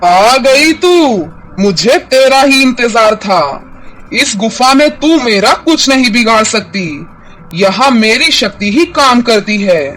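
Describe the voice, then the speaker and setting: A man acts out a vengeful cartoon demon with a high, forceful voice. He is boastful and menacing, drawing out his threats slowly over clear background music. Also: lively intonation, heavily acted, poor audio. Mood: menacing, gloating. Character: vengeful male demon who threatens to devour people. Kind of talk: cartoon villain acting.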